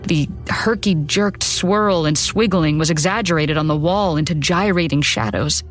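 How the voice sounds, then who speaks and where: A woman's voice: low-pitched, moderate pace. A woman narrating a first-person horror story in a dramatic storytelling style. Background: background music.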